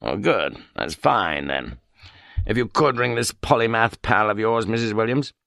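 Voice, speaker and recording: A man's voice: fairly low pitch, lively intonation, measured pace, creaky, a bit bright, no room sound, clean studio sound, full character voice. A man voicing a dryly ironic, irritable middle-aged chief inspector in an audiobook. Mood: curt, dry.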